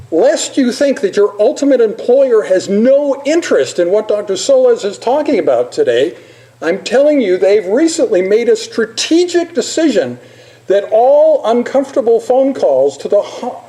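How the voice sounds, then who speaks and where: An older man giving an informal presentation as a lecturer, halting at times with repeated words and pauses. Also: very high-pitched, dark tone. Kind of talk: informal slide presentation with poetry recitation.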